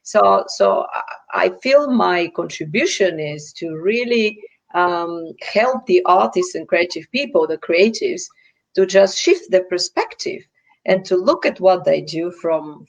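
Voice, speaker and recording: A woman's voice: on the low side, expressive, a little slow, gravelly. A woman speaking conversationally as an expert guest in an online interview. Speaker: guest, cultural consultant and educator.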